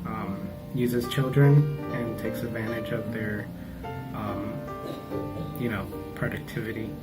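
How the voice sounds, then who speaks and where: A man who works as a program coordinator, answering interview questions in a measured way, searching for words. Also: lowish voice, little pitch movement, poor audio. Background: music.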